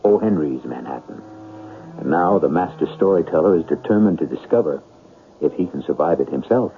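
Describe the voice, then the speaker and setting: A man hosting a radio mystery show, narrating in an ominous, theatrical and deliberate style with suspense. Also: very deep voice, lively intonation. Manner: deliberate, suspenseful, rhetorical questions. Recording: muffled old radio broadcast, faint music. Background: faint music.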